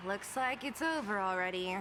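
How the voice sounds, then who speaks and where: A woman's voice: medium pitch, lightly acted. A woman voicing a blunt, restless young woman in a game, talking in a weary, grumbling way. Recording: game audio with steady background noise.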